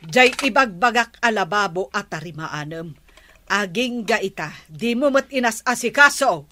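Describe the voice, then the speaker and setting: Radio comedy wife: Ilocano, exasperated scold with an average-pitched voice, nagging her husband. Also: animated, moderate pace, pushed and bright, a little hiss or hum.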